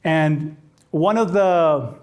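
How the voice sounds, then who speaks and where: A man in his forties, from Chile, talks to a room of faculty about storytelling in a slightly high, easygoing voice. He speaks conversationally and often doubles words as he restarts a phrase. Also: extremely slow. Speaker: storytelling workshop trainer. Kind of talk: workshop talk to faculty. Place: classroom with a live audience.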